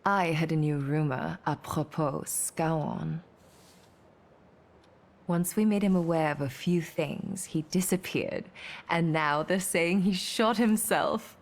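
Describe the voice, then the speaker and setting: A woman's voice: low-pitched, slow. An actress playing a poised, wry society woman in a video game, speaking without hurry. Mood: wry, gossipy. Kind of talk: video game cutscene acting.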